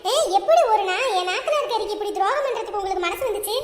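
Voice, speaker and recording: A woman voicing a fierce mother who scolds and shouts to defend her son. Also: very high voice, expressive, fast talker, reverberant, a crowd in the background. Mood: scolding.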